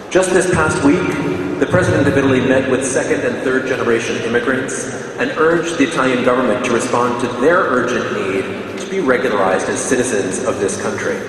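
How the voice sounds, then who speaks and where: A man's voice: medium pitch, background noise. A man moderating after a lecture, asking one question in a formal but hesitant way. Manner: hesitant, many uh fillers. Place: large stone hall. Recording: distant mic in echoey hall.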